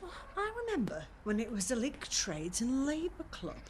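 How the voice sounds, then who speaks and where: An actress playing a frail, fond elderly English mother in a naturalistic audio drama, gentle and hesitant as she reminisces. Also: on the high side, wildly swooping pitch, normal speed, a little hiss or hum. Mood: nostalgic. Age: older.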